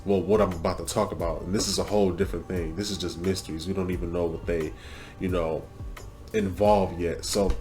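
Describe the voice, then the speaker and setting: A man with a fairly low voice, talking to his audience in a loose, rambling way. He sounds stuffed up and congested from a cold. Faint music plays behind him. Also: a little mellow. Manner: loose and rambling, with swearing and fillers. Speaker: reaction video host. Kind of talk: casual reaction commentary.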